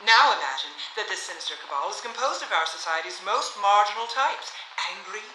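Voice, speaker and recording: A woman's voice: medium pitch, expressive, fairly quick, lightly acted. An actress playing a sarcastic, defiant young Hollywood woman at a 1950s hearing in a period radio drama. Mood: sardonic, cool. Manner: sarcastic, grandly rhetorical. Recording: roomy, noisy hall sound.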